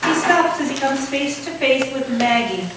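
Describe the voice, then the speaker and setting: Woman narrator reading stage directions, slightly high voice, really echoey, sounds far from mic. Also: animated, normal speed. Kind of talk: scripted narration, read aloud. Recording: echoey hall from far off, faint noise.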